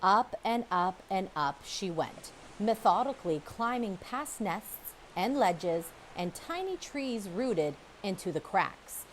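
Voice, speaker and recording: A woman reading a children's chapter book aloud, with expressive, measured storytelling and dramatic pauses. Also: mid-range voice, slight background noise, poor audio. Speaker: storybook reader. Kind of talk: children's audiobook read-aloud, scripted narration.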